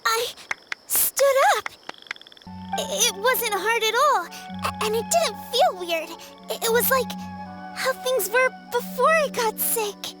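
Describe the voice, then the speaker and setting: A woman voicing a determined, competitive young girl in a video game, speaking in an earnest, halting way. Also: extremely high pitch, lively intonation, over loud music, heavily acted. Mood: stunned, hesitant. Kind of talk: video game character dialogue.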